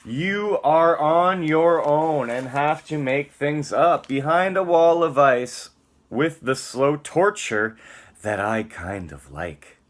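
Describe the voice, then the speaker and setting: A man's voice: on the high side, animated, slow pace. A man giving a dramatic, theatrical spoken-word reading, moving between emphatic lines and muttered casual asides.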